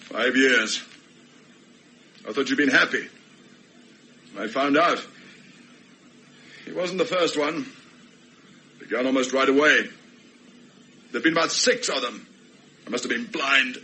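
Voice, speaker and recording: An actor playing a bitter, despairing betrayed husband in a radio play, speaking curtly and sardonically. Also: male voice, medium pitch, measured pace, long pauses, sharp tone, poor audio. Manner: curt, sardonic.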